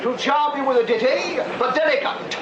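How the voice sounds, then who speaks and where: Shrill, bombastic music-hall chairman. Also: normal speed, very noisy. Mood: florid, leering. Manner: florid, declaiming, alliterative patter. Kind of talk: period music-hall announcing in radio drama. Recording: echoey muffled hall, very loud crowd.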